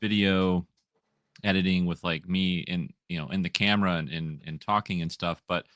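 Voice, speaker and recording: A man in his twenties with a deep, radio-style voice, talking easily and meanderingly as a comic writer guest in a casual interview. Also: steady tone, normal speed, fairly bright tone, no room sound, clean studio sound. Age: 20s. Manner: easygoing, meandering sentences.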